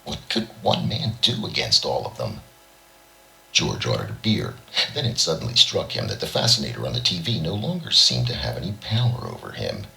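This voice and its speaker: Male audiobook narrator, extremely low pitch, very forceful bright edge, expressive story reading. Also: moderate pace, creaky, poor audio. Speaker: audiobook reader.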